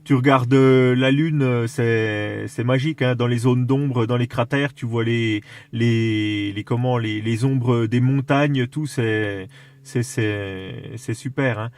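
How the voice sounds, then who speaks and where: A man in a casual radio chat, explaining things with enthusiasm while haltingly searching for his words. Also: mid-range voice, fairly even intonation, darkish tone. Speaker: amateur radio operator.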